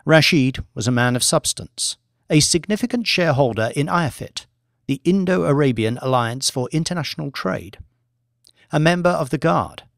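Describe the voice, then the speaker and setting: A man narrating a thriller audiobook from a script in a measured, dramatic storytelling manner. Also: mid-range voice, gravelly, no room sound, studio quality.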